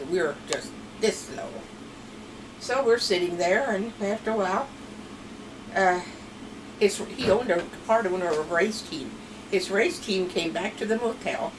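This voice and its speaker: Grandma in her 70s, pennsylvania dutch accent, rambly chatty storyteller, mic far off with noise behind. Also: on the low side. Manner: chatty, rambling, laughs between stories. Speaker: grandmother being interviewed. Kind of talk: casual family storytelling. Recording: distant mic with clear background noise.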